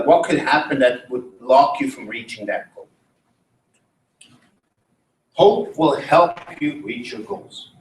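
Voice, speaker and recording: A man from Switzerland giving an academic conference talk and putting questions to the audience. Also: mid-range voice. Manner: engaging, asks the audience questions. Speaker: conference presenter. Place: conference room. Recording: roomy, mic at a distance.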